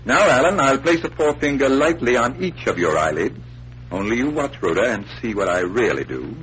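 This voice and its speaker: Confident magician detective, 1940s radio show, teasing and showy, lots of up and down, muffled old recording. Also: male voice, medium pitch, sing-song, fairly quick, fairly bright tone, slight background noise. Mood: showmanlike, assured. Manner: theatrical, precise, playful taunting. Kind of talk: 1940s radio mystery acting.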